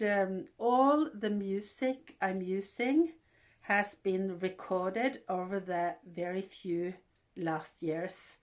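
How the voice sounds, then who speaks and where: A woman in her sixties from Norway with a Norwegian accent, hosting a casual vlog in English and talking in a halting way with fillers and restarts. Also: mid-range voice. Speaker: vlog host.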